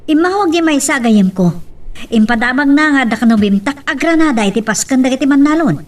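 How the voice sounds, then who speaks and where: A woman's voice: sits high, expressive, a little fast, distant mic, a little hiss or hum. A woman acting a young daughter in an Ilocano radio drama. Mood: concerned. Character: young daughter reporting news to parents.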